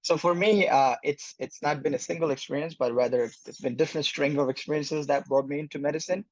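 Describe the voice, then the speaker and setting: A young man from Bangladesh, an incoming medical student, answering interview questions thoughtfully with many pauses and fillers. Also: a little high, no echo, lo-fi sound. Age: young adult.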